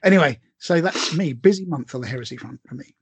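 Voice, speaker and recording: A man's voice: fairly high pitch, expressive, fast talker, breathy edge, dry recording. A man co-hosting a podcast in casual conversation, chatty, with frequent asides and tag questions. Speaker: podcast co-host.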